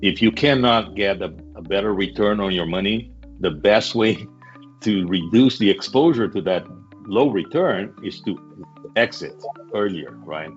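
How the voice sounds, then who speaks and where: Very deep male guest, halting and self-correcting, dull video-call audio. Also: expressive, soft background music. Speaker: guest immigration expert. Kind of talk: casual webinar talk.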